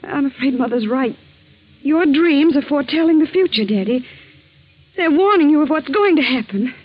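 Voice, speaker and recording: Expressive earnest young daughter. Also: female voice, sits high, moderate pace. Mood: concerned. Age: young adult. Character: concerned young engaged daughter, earnest and soothing. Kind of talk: 1940s radio acting. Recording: dull vintage 1940s radio recording.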